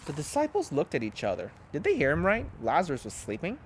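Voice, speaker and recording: A pastor reads a children's story aloud with plenty of expression. His voice sits a little high and swings widely in pitch, with a faint hiss of noise under it. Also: wildly swooping pitch, quick pace.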